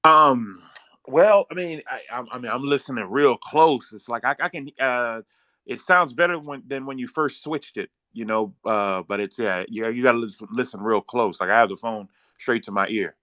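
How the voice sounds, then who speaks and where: A man's voice: mid-range voice, moderate pace, sharp tone. A man, chatting casually as co-host, hesitant and full of fillers. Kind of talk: casual chat.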